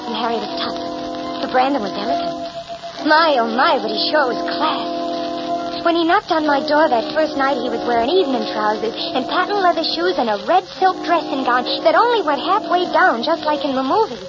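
An actress playing a girlish, coy American killer who feigns innocence in an old-time radio drama. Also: high-pitched. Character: girlish, falsely innocent cold-blooded female killer. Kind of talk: 1940s-50s radio drama acting, confessional narration. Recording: muffled old radio recording. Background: music.

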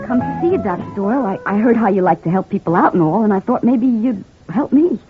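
An actress playing a scheming working-class wife in an old-time radio crime drama, talking in streetwise slang and sweetly feigning victimhood. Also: female voice, mid-range voice, lively intonation, quick pace, over music, natural voice. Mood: plaintive, fretful. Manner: streetwise slangy, ungrammatical talk. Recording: muffled, phone-like vintage radio recording.

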